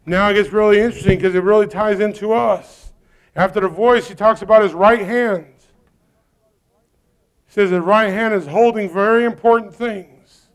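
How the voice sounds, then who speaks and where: A man preaching with a high, gravelly voice. He builds to loud, urgent peaks, then drops to low, quiet asides. His voice sits high and stays rough with fry. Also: steady tone, normal speed. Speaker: pastor. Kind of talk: sermon.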